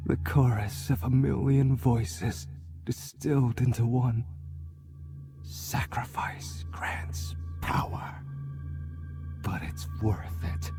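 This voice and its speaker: An actor playing a bitter, vengeful, haunted man in a video game, speaking in a brooding half-whisper. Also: fairly low pitch. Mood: intense. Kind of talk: dramatic video game monologue.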